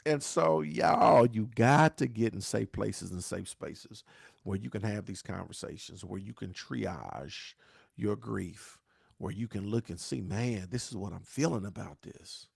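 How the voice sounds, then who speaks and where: A pastor who talks to viewers in a preachy, repeating way and asks "right?" again and again. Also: male voice, on the low side, unhurried, creaky, husky, no echo, studio quality. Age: 40s. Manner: dialect grammar, says "y'all". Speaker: pastor hosting a grief talk show. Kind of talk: live-stream talk answering viewer questions.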